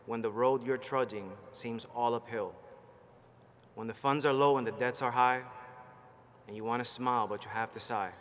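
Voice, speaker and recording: A man from Puerto Rico giving an energetic, rallying and personal ceremony speech at a podium microphone. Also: medium pitch, normal speed, slight background noise. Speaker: student commencement speaker. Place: large commencement hall. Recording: reverberant hall with faint crowd ambience.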